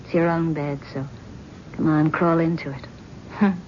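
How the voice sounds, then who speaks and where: A weary, sharp-tongued wife. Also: female voice, low voice, fairly even intonation, a little slow. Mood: teasing. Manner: wry, teasing, soft-spoken. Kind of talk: 1970s radio drama acting. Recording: dull lo-fi old radio broadcast with faint hiss.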